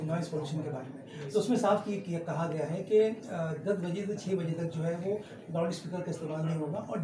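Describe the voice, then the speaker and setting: High-voiced male reporter, off-mic. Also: recorded from a distance. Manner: long, rambling questions with fillers. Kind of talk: press interview questions. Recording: echoey, off-mic, crowd behind.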